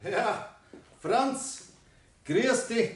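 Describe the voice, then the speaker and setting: A man's voice: high-pitched, expressive, extremely slow, heavily acted. Older drawling Bavarian grandfather. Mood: friendly. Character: persistent grandfather near retirement, an old friend. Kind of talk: 1960s German radio play acting.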